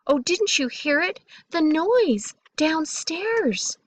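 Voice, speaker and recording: A woman voicing a frightened, timid little boy in a storybook, hushed and pleading. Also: high-pitched, lively intonation, normal speed, breathy edge, dry recording. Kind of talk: storybook read-aloud.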